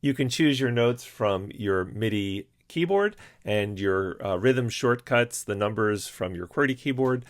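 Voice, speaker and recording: A male music teacher walking through a software tutorial in calm, step-by-step instructions, with frequent "uh" and "um" fillers. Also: on the low side, a little slow, hardly any pauses, no echo, clean studio sound. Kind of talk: screen-recorded software tutorial.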